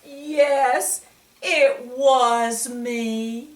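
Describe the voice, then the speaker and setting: A woman voicing a silly, naughty little boy from a storybook, with exaggerated, drawn-out words. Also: on the high side, fairly even intonation, pitched above the performer's own voice. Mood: drawn-out confession. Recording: echoey room, distant microphone.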